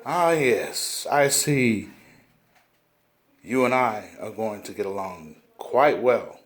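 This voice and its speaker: A man's voice: on the low side, lively intonation, slow pace. A man reading the part of a genial, bookish older film director at a script table read.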